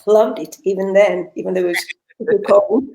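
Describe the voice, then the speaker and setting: A woman's voice: medium pitch, fairly even intonation, fairly quick, dark tone, roomy sound, a little hiss or hum, rough recording. Older Finnish-born woman, chatty guest. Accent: Finnish-tinged British English. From Finland. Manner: chatty, articulate, with repeated word restarts. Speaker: guest, author and retired teacher. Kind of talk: conversational interview.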